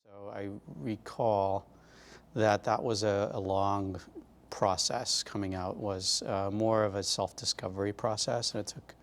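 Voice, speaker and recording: A middle-aged man with a lowish voice tells his story in an oral history interview. He speaks in a reflective, measured way, pausing often with fillers as he gathers his thoughts. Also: steady tone. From Glendale, California.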